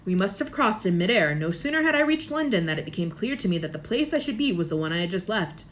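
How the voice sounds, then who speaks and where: A woman voicing a kindly old male headmaster in a storybook reading, gentle, measured and formal. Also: mid-range voice, sing-song, a little hiss or hum, natural voice.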